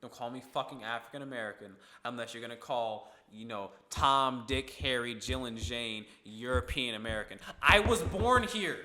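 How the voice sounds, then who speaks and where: A man's voice: medium pitch, normal speed, few pauses, sharp tone. Emphatic man in an echoey room. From Ithaca, New York. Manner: emphatic, swears freely when worked up. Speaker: podcast co-host. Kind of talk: casual discussion podcast.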